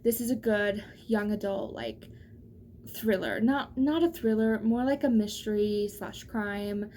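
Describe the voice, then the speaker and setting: A woman's voice: medium pitch, expressive, measured pace, warm tone, a little hiss or hum. A woman book vlogger talking casually and emphatically straight to her viewers, in an informal, gushing way. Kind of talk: casual chatty book review to camera.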